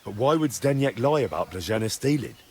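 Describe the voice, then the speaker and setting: Casting: actor as earnest, probing young medieval man, direct, animated intonation, natural game-drama delivery, some ambient noise. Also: mid-range voice, a little fast, close to an everyday voice. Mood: inquisitive. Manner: direct, questioning, reassuring yet pressing. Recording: clear background ambient noise.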